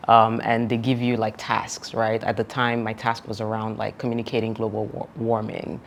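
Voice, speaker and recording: A woman's voice: a bass voice, completely flat delivery, normal speed, creaky, slight background noise. A Nigerian woman from Nigeria, a founder speaking as an interview guest, telling reflective stories in an earnest manner, laughing often and filling with "like" and "you know". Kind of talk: reflective conversational storytelling.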